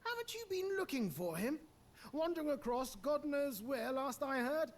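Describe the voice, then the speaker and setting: A woman voicing a brash, excitable female tinkerer in a video game, teasing and colloquial, in a theatrical way. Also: sits high, moderate pace, a little hiss or hum. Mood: suspicious, excited. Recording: music behind.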